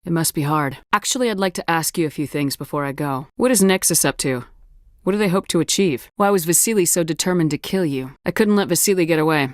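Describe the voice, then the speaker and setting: A woman's voice: sits low, quick pace, dry recording, clean studio sound, close to an everyday voice. A woman playing a tough, decisive space soldier in a video game, speaking with firm, clipped authority. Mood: businesslike.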